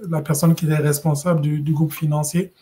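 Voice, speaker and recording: A middle-aged man from West Africa, a podcast guest, telling his story in a measured, thoughtful way with many pauses and restarts. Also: a little high, level tone, mellow. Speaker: guest, regional director. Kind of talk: conversational podcast interview.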